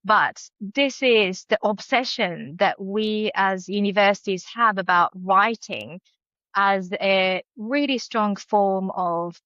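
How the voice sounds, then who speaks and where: A woman with a Japanese accent, a university dean speaking as a podcast guest in a thoughtful, measured, academic way. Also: mid-range voice, fairly bright tone, no echo, dull audio. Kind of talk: podcast interview.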